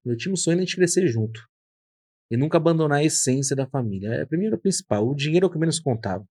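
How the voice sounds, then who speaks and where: A man's voice: on the high side, lively intonation, very fast, mellow, no room sound, clean studio sound. A man in his thirties, a hairdresser and podcast host, telling his story in an earnest, reflective way. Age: 30s. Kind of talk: casual conversation.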